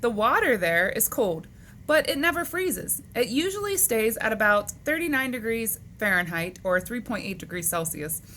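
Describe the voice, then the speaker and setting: A woman's voice: fairly high pitch, big pitch swings, normal speed, a bit bright. A woman reading a children's book aloud, speaking to young listeners in a question-and-answer manner, on a noisy recording.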